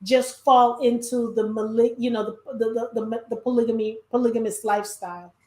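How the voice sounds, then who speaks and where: A woman's voice: a little high, fairly even intonation, moderate pace, dark tone. A middle-aged woman co-hosting a casual discussion, rambling with frequent restarts and trailing phrases. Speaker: podcast co-host. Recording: echoey room.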